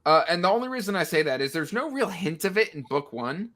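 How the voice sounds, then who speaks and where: A man's voice: sits high, sing-song, quick pace, fairly bright tone. A man chatting casually as a panelist in a book discussion, animatedly acting out characters' lines in a mocking way. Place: indoors on a livestream video call.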